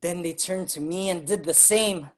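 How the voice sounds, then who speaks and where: An actor playing an earnest Filipino migrant writer and activist, narrating dramatically in a staged reading. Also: male voice, high-pitched, moderate pace, natural voice. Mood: reflective, grave.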